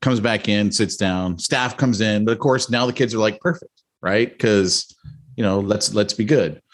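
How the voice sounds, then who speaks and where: A middle-aged man co-hosting a podcast, telling a story in a rambling, animated way with many restarts and asides. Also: fairly low pitch, normal speed. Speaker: podcast co-host. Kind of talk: casual storytelling chat.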